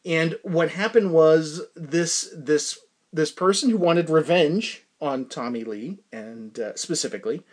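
A middle-aged man hosting a podcast in a rambling, casual monologue, animated, often interrupting himself and repeating words for emphasis. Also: on the high side, measured pace. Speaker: podcast host.

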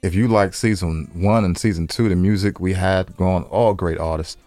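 A man's voice: low-pitched, steady tone, normal speed, dark tone, no room sound, soft background music. An American man co-hosting a podcast in casual, playful banter, emphatic and slangy, repeating himself for effect. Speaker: podcast co-host.

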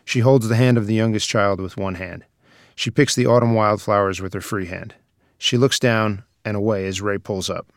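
A man's voice: on the low side, steady tone, moderate pace, no echo, clean studio sound. A man reading scripted stage directions as the narrator of a screenplay table read.

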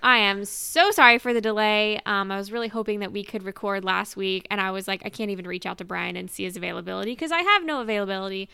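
A woman's voice: medium pitch, fast, creaky, few pauses, sharp tone, clean tone, dry recording. A woman hosting a casual fan podcast, chatting informally. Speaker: podcast host.

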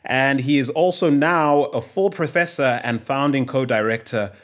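A man hosting a podcast interview, lively and reading out guest bios at length. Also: medium pitch, normal speed. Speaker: podcast host.

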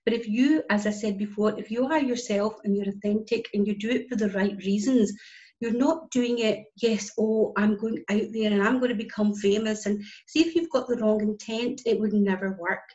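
A woman's voice: mid-range voice, fairly even intonation, normal speed, a little mellow. Scottish woman, forties, interview guest; earnest, emphatic delivery, muffled lo-fi audio. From Scotland. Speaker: guest, business connector. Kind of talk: casual interview chat. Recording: dull lo-fi audio.

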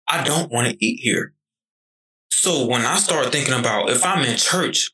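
A man's voice: medium pitch, vocal fry, bright, forceful tone, poor audio. A young man in his twenties talking casually and animatedly on a podcast, swearing freely as he tells stories. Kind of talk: casual conversation.

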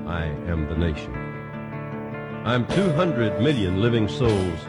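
Solemn deep male patriotic recitation. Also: big pitch swings, takes it slowly, over loud music. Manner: solemn, declamatory.

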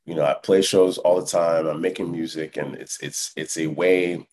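A deep-voiced man you'd hear as the musician guest on a relaxed podcast, feeling his way through an answer and checking you follow. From born in France, lived in Canada and Texas, Congolese family. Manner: reflective, halting, often checks the listener follows. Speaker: hip hop musician, interview guest. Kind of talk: casual podcast interview.

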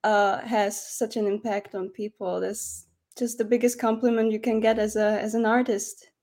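A young woman from Slovakia with Slovak as her first language, speaking as a guest in an interview and giving soft, thoughtful answers with some hesitations. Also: medium pitch, flat delivery, moderate pace. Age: young adult. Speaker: guest musician. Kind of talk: casual interview.

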